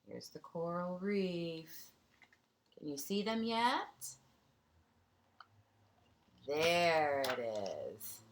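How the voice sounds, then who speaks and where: A woman reads a children's storybook aloud in a teacherly, expressive way. Her voice sits a little low and swings widely in pitch, and she takes her time, pausing to explain things to young listeners. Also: a little hiss or hum.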